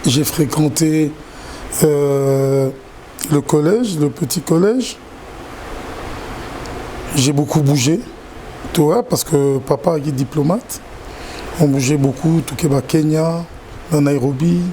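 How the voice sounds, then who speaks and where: A man from Congo with a Congolese French accent, chatting as a guest in an interview, speaking in a halting, measured way with repeated words. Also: a little high, dark tone, a little hiss or hum, low-quality recording. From Democratic Republic of the Congo. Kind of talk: casual interview chat.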